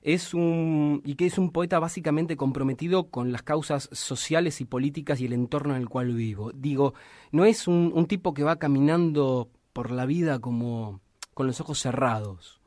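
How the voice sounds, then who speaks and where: A middle-aged man with an Argentine accent hosting a radio interview in a small studio, talking at an unhurried pace with frequent restarts. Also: a little high, darkish tone, no room sound. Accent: Argentine Spanish. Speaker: radio host. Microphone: studio mic with headphones.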